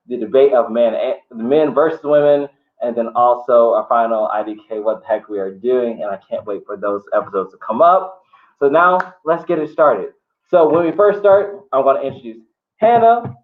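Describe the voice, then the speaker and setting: A male host leads a group discussion over a thin, phone-like connection. His pitch sits in the middle for a man. He talks informally and tends to repeat his phrases. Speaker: podcast host.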